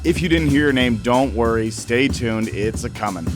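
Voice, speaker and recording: A podcast co-creator thanks listeners in an upbeat way. His voice is mid-pitched, and clear music plays beneath him. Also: moderate pace. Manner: grateful, upbeat. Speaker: co-creator and writer. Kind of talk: podcast outro.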